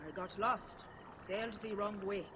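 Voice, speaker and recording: Tough rebel woman in loud noise, actress. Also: medium pitch, steady tone, a little slow, natural voice. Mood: purposeful. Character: Jeska, tough, purposeful rebel woman sheltering villagers. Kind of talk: video game character acting. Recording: quiet voice under loud ambient noise.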